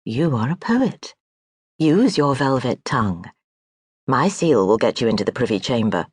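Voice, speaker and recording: An audiobook narrator voicing a proud, imperious noblewoman who speaks crisply and gives sharp commands. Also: medium pitch, sing-song, normal speed, no echo, studio quality. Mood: commanding.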